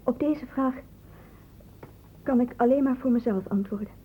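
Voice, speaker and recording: A woman's voice: fairly high pitch, moderate pace, slightly rough. An actress playing a guarded, evasive teenage girl in a radio drama, giving hesitant, defensive answers. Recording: noisy, dull lo-fi old radio recording.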